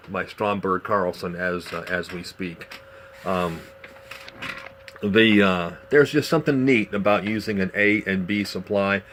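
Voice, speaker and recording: A man giving a casual, unscripted show-and-tell demonstration in a measured, explanatory way, pausing as he goes. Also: fairly low pitch, fairly bright tone. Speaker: vintage radio collector presenting a demonstration. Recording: clearly noisy amateur home video.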